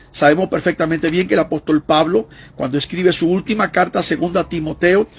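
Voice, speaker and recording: A man's voice: sits high, vocal fry, husky. An older man, an evangelical preacher, delivering an online sermon with an emphatic preaching cadence and quoting scripture.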